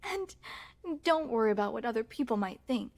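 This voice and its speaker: A woman's voice: a little high, expressive, fairly quick. A woman playing a possessive yandere princess in an ASMR roleplay, speaking in coy, regal, formal phrases with sweet menace. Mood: adoring, possessive. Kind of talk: ASMR roleplay, scripted monologue to the listener.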